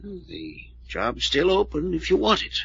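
An actor playing a stern, proper older family attorney, formal and reproving, in an old-time radio drama. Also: male voice, fairly high pitch, huge pitch swings, measured pace, vocal fry, fairly bright tone, husky, no room sound, exaggerated voice. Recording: muffled vintage radio recording.

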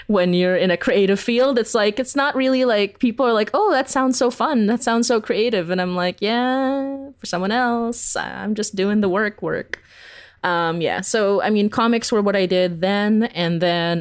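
A woman's voice: medium pitch, dry recording, studio quality. A woman chatting casually as an interview guest, giggly and peppering her talk with "like". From Philippines. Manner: chatty, giggly, uses "like" constantly. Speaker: interview guest, animator and comics creator.

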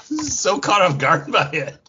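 A man hosting a casual podcast chat in a jokey, animated manner. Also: sits high, unhurried, dull audio, a little hiss or hum. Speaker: podcast host.

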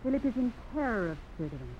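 A woman's voice: a little high, big pitch swings, quick pace. A determined, lovelorn wife. Her delivery is intense and pleading, in old radio melodrama style. The sound is dull, with faint noise. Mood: worried. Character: lovelorn, determined married woman, old flame of adventurer. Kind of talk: 1950s radio melodrama acting.

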